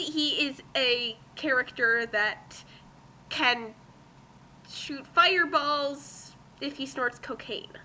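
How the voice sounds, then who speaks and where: Woman, bright tone, theatrical fan fiction reading, casual asides, slow pace. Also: sits high, a little hiss or hum, rough recording. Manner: exaggerated, theatrical when reading aloud. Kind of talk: dramatic comic reading with casual commentary.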